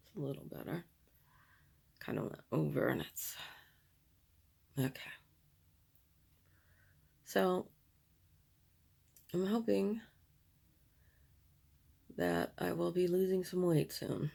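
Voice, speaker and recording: A middle-aged woman with a raspy voice, chatting candidly while doing her makeup, in a slow, rambling manner. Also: on the low side, expressive, warm tone. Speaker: beauty vlogger.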